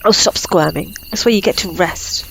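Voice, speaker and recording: A woman's voice: fairly low pitch, moderate pace, vocal fry, slight background noise, poor audio. A woman playing a cocky, flirtatious female vampire in an ASMR roleplay, sardonic and playful. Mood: smug, bantering. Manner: sardonic, playful, casually swearing. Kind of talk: ASMR roleplay audio drama.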